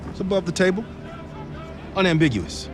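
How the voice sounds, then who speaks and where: An actor playing a cynical, resolute former agent in a video game, with a terse, dry delivery. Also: male voice, sits high, animated, normal speed, music in the background. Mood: wry. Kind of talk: cinematic game acting.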